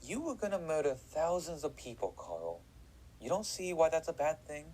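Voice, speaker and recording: Man as a lively-pitched, stern psychiatrist. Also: normal speed, slightly rough, low-quality recording. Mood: skeptical, reproachful. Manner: clinical, sardonic. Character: stern, condescending male prison psychiatrist. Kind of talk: audio drama acting.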